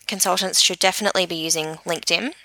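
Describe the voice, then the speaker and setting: A woman's voice: lowish voice, steady tone, quick pace, bright, forceful tone, no echo, poor audio. A woman in her thirties from New Zealand with an Australian accent, giving conversational expert advice as a guest. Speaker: guest social media expert.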